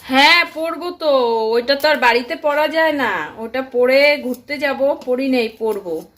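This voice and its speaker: An affectionate, homely mother played by a woman. She talks in an unhurried, gently questioning way, as in an everyday phone chat with her grown son. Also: high voice. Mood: bashful, pleased. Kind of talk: staged phone-call drama, casual domestic chat.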